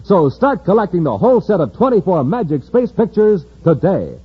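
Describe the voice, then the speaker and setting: Animated male old-time radio announcer. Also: high-pitched, moderate pace, husky. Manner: emphatic, theatrical showman delivery. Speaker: announcer and narrator. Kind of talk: 1950s radio announcing, scripted narration and ads. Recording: muffled old radio recording, faint noise.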